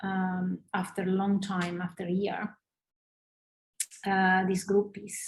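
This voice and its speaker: Italian-accented woman, halting, echoey room. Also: mid-range voice, little pitch movement, slight background noise. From northern Italy. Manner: hesitant, many fillers and restarts. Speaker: interview guest, former ballerina. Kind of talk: casual interview conversation. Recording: roomy echo.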